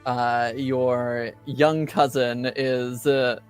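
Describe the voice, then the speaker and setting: A man narrating a tabletop game as game master, improvising haltingly with many pauses. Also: medium pitch, little pitch movement, clean tone, soft background music.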